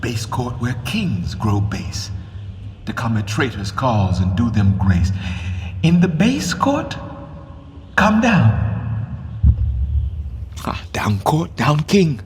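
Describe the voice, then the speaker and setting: A man's voice: mid-range voice, big pitch swings, slow pace, long pauses, darkish tone, slight background noise. A man acting a grieving, bitterly ironic king facing deposition in a Shakespearean radio play, with brooding, theatrical verse delivery. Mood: bitter scorn.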